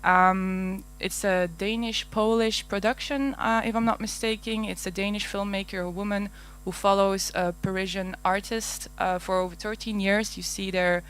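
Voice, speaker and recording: A woman's voice: mid-range voice, steady tone, normal speed, hardly any pauses, a bit bright, smooth. Flemish woman at a conference mic, nervous and hesitant, fillers and small laughs. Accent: Flemish Dutch. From Belgium. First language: Dutch. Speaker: conference speaker. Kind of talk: conference presentation. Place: large darkened auditorium.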